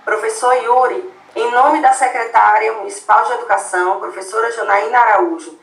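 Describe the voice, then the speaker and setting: A woman's voice: a little high, steady tone, moderate pace, gravelly, echoey room, far from the mic, rough recording. A woman formally introducing a guest speaker at an event. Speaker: event presenter.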